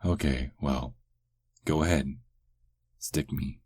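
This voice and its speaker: A man performing a male werewolf for an ASMR roleplay, with an extremely low voice that takes its time. He is sarcastic and flirtatious, drawling his teases in a close, dry sound. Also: fairly even intonation, darkish tone, no room sound, heavily acted. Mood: cocky, amused. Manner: teasing, sarcastic, slow drawl with lingering pauses.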